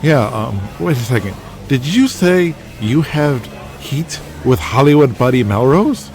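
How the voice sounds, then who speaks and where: A man playing a young, cocky, tipsy wrestler's sidekick in an audio drama, loose and excitable. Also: medium pitch, measured pace. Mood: interested. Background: music.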